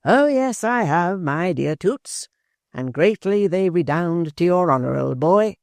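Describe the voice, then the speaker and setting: A man voicing a hearty, effusive gentleman friend in a storybook reading. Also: high-pitched, animated, a little slow, gravelly, dry recording, voice pushed up, heavily acted. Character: hearty friendly male tutor.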